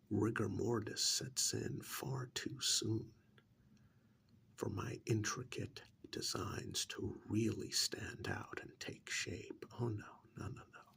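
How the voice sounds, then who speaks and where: A man playing a cold, sadistic serial killer in a soft-spoken ASMR role-play, speaking slowly and menacingly in a breathy, gravelly whisper with deliberate pauses. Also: lowish voice, heavily acted.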